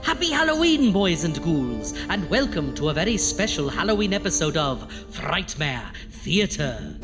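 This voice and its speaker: A man acts out a pompous mad-doctor horror host in a campy audio comedy. His delivery is theatrical and exasperated, swinging widely in pitch, with music playing behind him. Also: sing-song, moderate pace. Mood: grandly welcoming. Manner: pompous, dramatic, alliterative.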